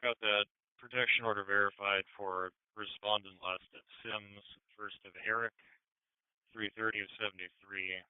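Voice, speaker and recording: A man's voice: fairly low pitch, flat delivery, moderate pace, clean tone, no room sound. A man speaking in clipped dispatch talk over a police two-way radio. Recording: narrow phone-like police scanner radio.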